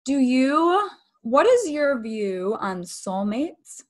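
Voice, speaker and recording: A woman's voice: sits high, very animated, extremely slow. Enthusiastic woman hosting an interview. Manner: enthusiastic, rambling questions. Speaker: host, relationship expert. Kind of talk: interview host conversation.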